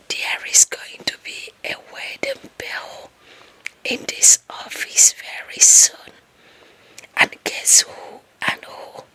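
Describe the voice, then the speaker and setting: A breathy, very slow whisper with a sharp, bright edge carries this lovestruck young office gossip as a woman acts her out. She has an African accent. She is bossy, dramatic and deluded, in the manner of an ASMR roleplay. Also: fairly high pitch, full character voice. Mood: smug, excited.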